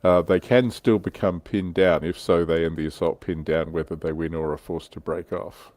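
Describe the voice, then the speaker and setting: A man's voice: deep voice, steady tone, normal speed, darkish tone, no room sound. A man hosting a casual hobby panel chat. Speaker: livestream host presenting slides.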